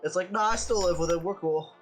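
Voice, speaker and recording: A man's voice: high voice, fast talker. Congested young man, blunt and swearing. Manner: blunt, swearing, laughing often. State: congested from a sinus infection. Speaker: streamer's guest. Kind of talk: casual chat.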